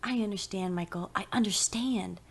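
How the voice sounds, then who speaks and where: A woman voicing a devout, excited and determined wife in an audio story, speaking with insistent enthusiasm. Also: mid-range voice, moderate pace, vocal fry, slightly rough.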